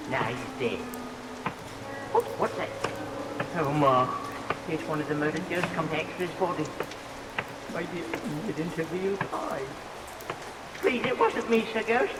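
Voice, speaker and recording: Cheeky jittery servant, swooping voice. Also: male voice, a little high, big pitch swings, a little slow, pauses often. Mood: frightened. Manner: jittery, wisecracking, theatrical. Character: cheeky, nervous young male hired servant. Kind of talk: vintage comic radio melodrama acting. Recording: roomy noisy vintage radio, heavy rain.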